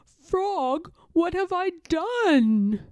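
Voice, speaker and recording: Lady doing a storybook toad guy, showy and needy, loud dramatic cries, mellow tone, really swoopy pitch. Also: sing-song, extremely slow, no echo, heavily acted. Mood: anxious, pleading. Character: boastful male toad turning anxious and lonely. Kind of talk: children's storybook character voice.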